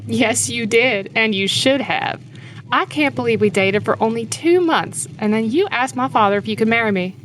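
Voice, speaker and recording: A woman's voice: fairly high pitch, moderate pace, fairly bright tone, slight background noise, lightly acted, low-quality recording. A woman playing an older wife and grieving mother, frank and consoling, in an audio drama. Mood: teasing, fond.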